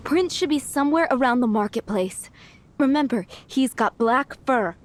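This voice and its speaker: Friendly, higher-voiced townswoman. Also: lively intonation, normal speed, slight background noise. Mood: grateful, worried. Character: friendly townswoman, fond cat owner. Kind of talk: video game voice acting.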